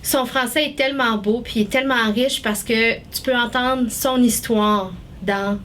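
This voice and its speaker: Woman, higher voice, admiring casual answer, faint noise. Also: steady tone, measured pace, a bit bright. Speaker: student interviewee.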